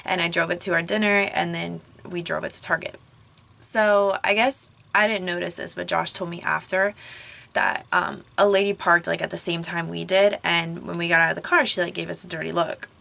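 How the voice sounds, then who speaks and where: A young woman talks straight into a camera propped up in a small closet, ranting in a loose, chatty way with plenty of "like" and "um". Her voice is a little hoarse and gravelly, sitting slightly low yet sounding bright and edgy. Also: steady tone, normal speed. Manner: chatty, frequent "like" and "um", rising frustration. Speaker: vlogger. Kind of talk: casual rant to camera. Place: small closet at home, clothes all around. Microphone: camera propped up on a crib.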